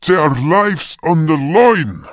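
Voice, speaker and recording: A man's voice: fairly high pitch, lively intonation, slow pace, no room sound, studio quality. A man playing a stubborn, weary, injured beast warrior in a fantasy audio drama, terse and grudging. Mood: defiant.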